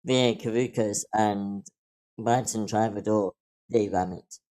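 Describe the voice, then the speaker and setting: A man's voice: lowish voice, steady tone, takes it slowly, warm tone. A man reading stage directions aloud as narrator at an amateur script table read.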